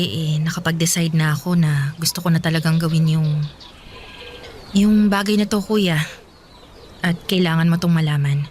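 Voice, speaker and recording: A woman's voice: on the low side, slight background noise, close to an everyday voice. A woman playing an earnest young working daughter in a radio drama, aggrieved, pleading and defensive. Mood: serious, hesitant. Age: young adult. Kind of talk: Filipino radio drama acting.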